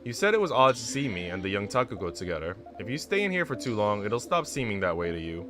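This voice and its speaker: Visual novel guide played by a man: gentle, knowing woman, calm manner, varied intonation, music bed. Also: fairly low pitch, fast talker. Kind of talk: reading visual novel dialogue aloud.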